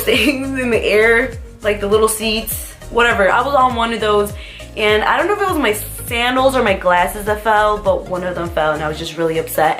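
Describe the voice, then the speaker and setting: A woman's voice: mid-range voice, fairly bright tone. A young American woman chatting casually through a vlog tag video, rambling and giggling as she answers questions. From United States. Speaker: vlogger.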